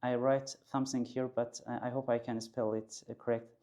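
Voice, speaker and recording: A man hosting an interview, asking questions politely and in halting phrases. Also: mid-range voice, level tone, mellow. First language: Persian. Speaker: host. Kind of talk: casual interview.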